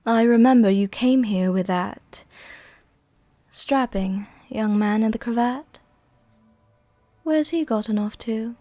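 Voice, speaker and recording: A woman playing a worldly, softly dominant vampire countess in an intimate roleplay ASMR, with a soft, gentle, tenderly commanding way of speaking. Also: on the high side, slow, pauses often, no room sound. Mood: playful, worldly.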